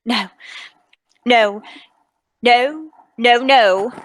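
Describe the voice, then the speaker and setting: An actress playing a kindly, concerned lady friend in a radio-play table read, gentle, fussing and dramatic. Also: on the high side, drawn-out words, no echo, poor audio. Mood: disbelieving horror.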